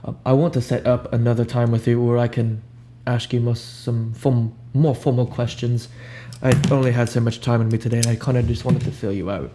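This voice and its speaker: A young man improvising a soft-spoken ASMR roleplay as a cool, ominous recruiter, talking in a casual, blunt, unhurried way over hiss and hum. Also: mid-range voice, level tone. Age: young adult. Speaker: ASMR roleplayer playing a job interviewer. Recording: audible background hiss and hum.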